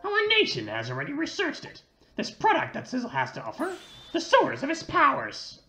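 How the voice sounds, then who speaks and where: A woman puts on a smug male game villain, pitched well above her own voice. He is oily and sinister, mocking in a sing-song that swoops widely. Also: wildly swooping pitch, normal speed, bright, forceful tone, soft background music, full character voice. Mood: gloating.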